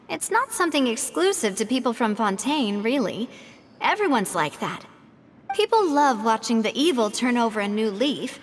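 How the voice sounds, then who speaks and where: A high, very expressive young woman, poised, wry and determined as a leader should be. Her measured speech rings with a long reverb. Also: a little hiss or hum. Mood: wry, resigned. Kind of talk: video game voice acting.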